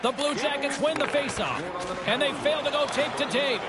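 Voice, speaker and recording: A man voicing an excitable hockey play-by-play announcer in a sports video game, calling the action in clipped, punchy phrases. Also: very high voice, moderate pace. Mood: brisk, focused. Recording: music and crowd noise behind. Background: loud arena crowd and music.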